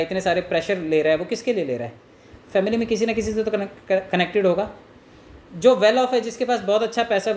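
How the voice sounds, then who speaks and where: A man's voice: high voice, a little mellow. Indian guy doing sales training, echoey room w a bit of noise, earnest explainer type, asks lots of rhetorical questions. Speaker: network marketing trainer. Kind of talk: informal sales coaching with role-played call examples.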